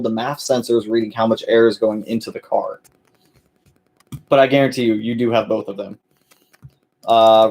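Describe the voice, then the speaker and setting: A man's voice: mid-range voice, a little mellow. Laid-back man hosting a car Q&A. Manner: laid-back, many fillers, calls viewers "my man". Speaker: host of a car channel. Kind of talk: casual live-stream Q&A.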